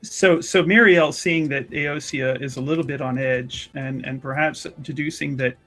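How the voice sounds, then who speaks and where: A woman acting as game master, narrating a character's thoughts and movements in unhurried, improvised phrases. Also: sits low, steady tone, a bit bright.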